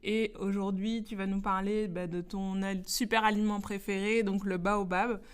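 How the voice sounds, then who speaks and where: A woman's voice: mid-range voice, little pitch movement, moderate pace, smooth. A woman hosting a conversational podcast interview, speaking informally and encouraging her guest. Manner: encouraging, informal, frequent affirmations. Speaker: podcast host.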